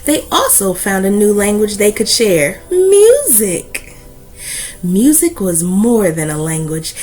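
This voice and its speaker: A woman reading a children's book aloud as host, with deliberate, emphatic phrasing and an expressive storytelling delivery. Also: mid-range voice.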